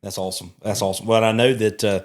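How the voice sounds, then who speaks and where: Folksy man, low-pitched host. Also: fairly even intonation, fast. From Alabama. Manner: folksy storyteller, chuckling asides. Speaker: podcast host, church chaplain. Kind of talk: casual interview.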